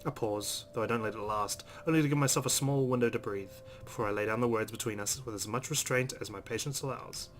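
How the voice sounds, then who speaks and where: A man with a mid-pitched voice reads a visual novel aloud as a let's play host. His delivery is expressive storytelling mixed with asides, recorded with faint noise and a low rumble. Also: fast talker, few pauses.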